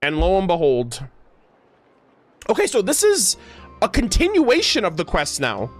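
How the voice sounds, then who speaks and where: A male streamer with a high voice that swings up and down freely. He talks casually and playfully to his chat in gamer slang, with music playing behind him. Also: wildly swooping pitch, unhurried. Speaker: streamer reacting to a video game. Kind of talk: casual gaming livestream commentary, reading dialogue aloud.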